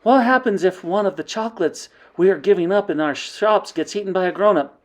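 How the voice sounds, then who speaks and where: A woman's voice: mid-range voice, brisk, a little mellow, pitched above the performer's own voice, natural voice. A woman voicing a female witch who asks a question deferentially from the audience. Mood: timid.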